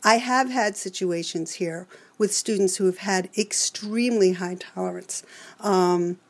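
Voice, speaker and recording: Brief: fifties woman, New York accent, counselor type, earnest and lecturing, middling pitch. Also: a little slow. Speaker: college alcohol counselor. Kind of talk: expert interview answers.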